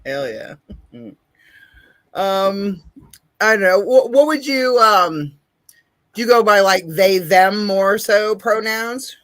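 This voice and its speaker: A deep-voiced woman hosting a casual chat, talking bluntly with plenty of swearing and frequent laughter. Her voice sits at an ordinary pitch. The sound is dry and close. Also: lively intonation, takes it slowly, pauses often, fairly bright tone, no room sound. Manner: blunt, profane, laughs often. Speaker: podcast host.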